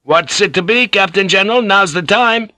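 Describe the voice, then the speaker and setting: A man's voice: fairly high pitch, lively intonation, brisk, sharp tone, no room sound, studio quality, pitched above the performer's own voice. A man voicing an eager, impatient master gunner who calls out urgently in an audiobook story. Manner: urgent, crying out.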